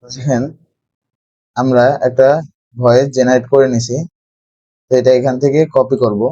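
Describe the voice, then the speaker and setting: A man's voice: medium pitch, level tone, normal speed, dark tone, no room sound, dull audio. A man narrating a step-by-step screen tutorial as its host.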